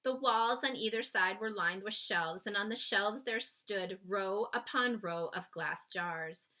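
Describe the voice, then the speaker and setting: Bright-voiced woman reading a children's story aloud. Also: mid-range voice. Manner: expressive, dramatic pauses, addresses young listeners.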